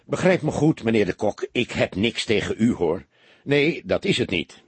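A man's voice: lowish voice, sing-song, moderate pace, husky, no room sound. A man voicing a shy, apologetic small-time crook, hesitant and wheedling, in an audiobook story. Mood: apologetic, pleading. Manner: hesitant, wheedling, colloquial Dutch.

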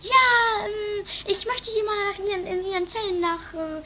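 A very high, piercing woman for a comedy radio play. She is scatterbrained and dramatic, stammering through flustered bluffs. There is faint noise on the amateur recording. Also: fairly even intonation, moderate pace, bright, forceful tone. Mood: flustered bluffing. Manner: stammering, flustered, loses her train of thought. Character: Doris, scatterbrained, shrill, bluffing dramatic sister. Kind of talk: improvised 1980s trash comedy radio play.

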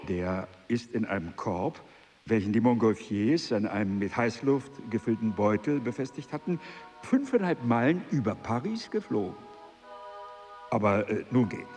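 An actor playing a genial, gossipy male duke in a radio play, with a gravelly voice. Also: fairly low pitch, expressive, normal speed, darkish tone, close to an everyday voice. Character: aristocratic male duke, chatty patron. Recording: long hall reverb, faint music.

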